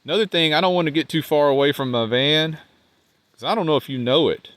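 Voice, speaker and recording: A man with a congested, nasal voice, talking casually to the camera outdoors as a van life vlogger, rambling and trailing off quietly. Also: fairly high pitch, quick pace, dry recording. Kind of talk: casual vlog talk while walking. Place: outdoors by a riverbank park.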